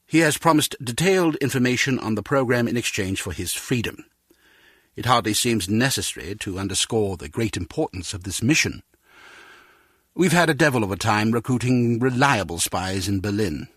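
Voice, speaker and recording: An actor playing an earnest, formal spy agency director in a video game, with a grave, measured delivery. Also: male voice, lowish voice, animated, a bit bright, husky, no echo, clean studio sound. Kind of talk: video game voice acting, scripted briefing.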